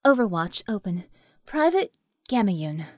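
A woman voicing a brisk, friendly young female technomage in an audiobook, peppering her orders with Russian words. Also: medium pitch, sing-song, no room sound, clean studio sound, voice pushed down. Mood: brisk, commanding.

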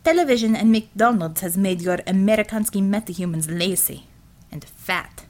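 A woman voicing a proud, sharp-tempered Russian communist commissioner in an audiobook, with a Russian accent and blunt, clipped broken English. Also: mid-range voice, expressive, moderate pace, lightly acted. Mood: scornful.

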